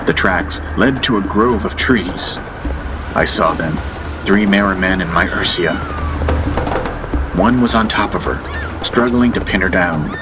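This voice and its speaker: A man narrating a fantasy audiobook in the first person, with intense, solemn, dramatic storytelling. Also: low voice. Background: music bed.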